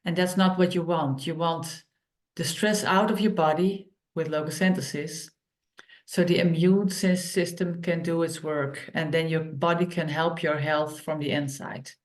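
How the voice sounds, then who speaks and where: A woman, co-hosting an online workshop and telling stories in plain, unhurried phrases with many pauses. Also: low-pitched, fairly even intonation. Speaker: workshop co-host and author.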